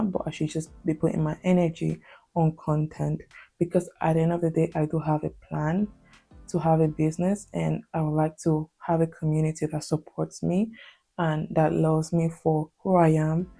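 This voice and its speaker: A woman's voice: low-pitched, creaky, warm tone. A young woman from Spain, a Spanish native speaker with a Spanish accent, talking through a personal story in a hesitant, filler-heavy casual vlog style. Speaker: vlogger. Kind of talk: confessional casual vlog talk.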